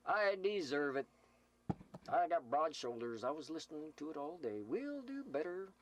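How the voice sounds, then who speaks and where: A man's voice: fairly high pitch, very animated, moderate pace. A man hosting a community CB radio roll call, giving folksy, improvised announcements in a joking, teasing, rambling way. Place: Slab City, California desert camp. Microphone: CB radio microphone, filmed while speaking.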